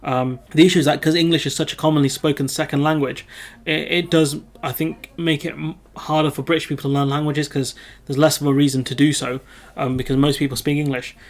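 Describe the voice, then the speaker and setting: Uk guy reading the news with his own takes, pretty flat voice, stumbles and corrects himself a lot. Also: a little high, brisk. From United Kingdom. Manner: rambling, self-correcting, frequent ums. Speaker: video host. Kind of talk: casual commentary reading news.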